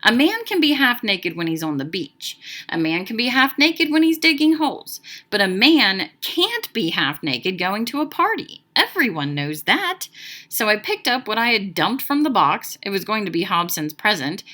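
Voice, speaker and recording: A woman narrates a children's book with very lively, swooping intonation. Her voice is bright and forward, and she tells the story in a dramatic, expressive way. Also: mid-range voice, moderate pace. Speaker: read-aloud narrator.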